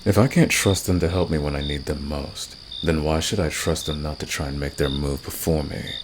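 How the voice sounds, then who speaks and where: A man playing a confident, flirtatious and secretly protective fantasy villain in an ASMR romance roleplay, gravelly and intimate, with a teasing, smug manner. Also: steady tone, normal speed. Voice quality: very deep, gravelly. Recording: clear background noise, night cricket ambience.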